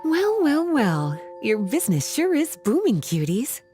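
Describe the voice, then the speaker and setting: A woman's voice: high voice, wildly swooping pitch, measured pace, warm tone, soft background music, full character voice. A woman voicing an elegant, teasing grown-up sorceress in a video game, coy, honeyed and playful. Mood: affectionate, teasing. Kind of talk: English video game voice acting.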